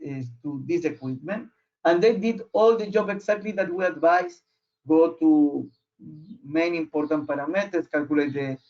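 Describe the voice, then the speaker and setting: A man who is not a native English speaker, giving an explanatory technical presentation in a halting way, searching for words. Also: a little high, a little mellow. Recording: muffled, dull online audio.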